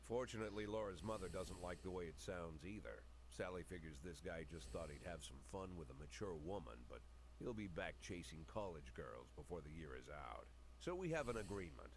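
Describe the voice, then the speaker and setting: A low-pitched, world-weary older police chief in a noir video game monologue. He is dry, brooding and bitter, with clear noise behind the recording. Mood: bitter, wry. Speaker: video game narrator and lead character.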